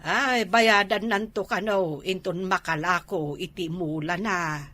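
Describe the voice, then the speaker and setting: A woman's voice: fairly low pitch, a little slow, fairly bright tone, no room sound. A woman with an Ilocano accent playing a fretful mother, scolding yet indulgent toward grown sons, in a comedy drama.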